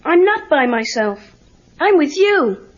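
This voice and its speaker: A woman's voice: high voice, very animated, slow, exaggerated voice. A woman playing a guarded, earnest teenage boy with an American accent and casual delivery in an audio story. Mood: glum. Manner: casual, defensive. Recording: dull cassette tape with music.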